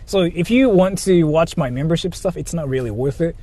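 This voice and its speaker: A man's voice: a little high, fairly quick, dark tone. A man livestreaming, chatting casually with viewers and reading donations in a rambling, joking way full of fillers. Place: in Japan.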